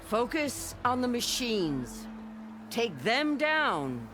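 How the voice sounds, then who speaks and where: A man playing a stern, resolute war chaplain in a video game, giving clipped, deliberate commands. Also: very high voice, very animated, music in the background, exaggerated voice. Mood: resolute, commanding. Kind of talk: cinematic video game acting.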